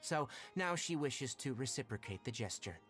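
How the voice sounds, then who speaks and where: A man voicing a composed, frank, protective young forest ranger in an anime video game, speaking in a measured, articulate way. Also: medium pitch, animated, a bit bright, soft background music, close to an everyday voice. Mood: earnest. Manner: measured, articulate, matter-of-fact.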